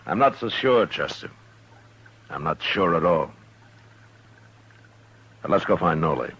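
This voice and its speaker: Very deep-voiced stern western marshal. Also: male voice, lively intonation, unhurried, fairly bright tone, breathy edge, full character voice. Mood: pondering. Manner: terse, firm, authoritative. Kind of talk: 1950s western radio drama acting. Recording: noisy old-time radio recording.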